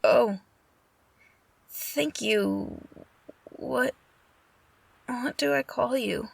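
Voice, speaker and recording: A woman's voice: fairly high pitch, drawn-out words, vocal fry, breathy edge, no room sound, exaggerated voice. A woman playing a timid, polite young lady rescued from captivity, hesitant and deferential, in an audio roleplay. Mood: timid wonder.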